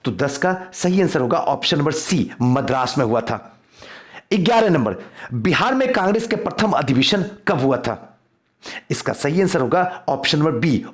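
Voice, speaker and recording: A man, a coaching teacher giving an emphatic quiz-style revision lecture straight to his students. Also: medium pitch.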